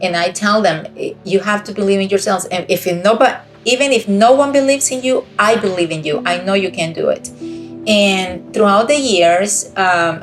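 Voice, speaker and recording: A woman's voice: medium pitch, poor audio. A middle-aged woman from Costa Rica with a Costa Rican accent, giving an earnest, encouraging personal testimony over a video call. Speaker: guest speaker.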